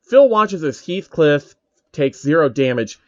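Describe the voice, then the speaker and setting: Male narrator, casual and irreverent comedic reading; slightly high pitch, dry close sound. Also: very animated, a little slow, a little mellow, no room sound, highs cut off.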